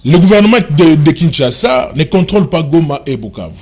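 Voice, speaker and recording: A man's voice: a little high, sing-song, a little fast, a little mellow, slightly rough. A man from DR Congo with a Congolese accent, debating politics in an insistent, explanatory way and repeating phrases.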